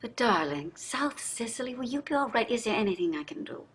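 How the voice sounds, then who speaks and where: An actress playing a privileged, jaded middle-aged wife in a staged play reading, speaking in a theatrical, effusive way. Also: female voice, a little high, very animated, a little fast, slightly rough. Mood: indignant, concerned. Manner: theatrical, effusive, drawling endearments. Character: wealthy, jaded, privileged wife on a dystopian luxury ship.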